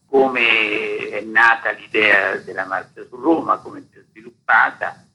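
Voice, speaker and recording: An older man with a very raspy, gravelly voice, interviewed as an author. He explains things like a storyteller, emphatic and didactic, repeating phrases to drive each point home. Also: on the low side, pushed and bright, poor audio. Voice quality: husky, rough, gravelly. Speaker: author being interviewed about a history book.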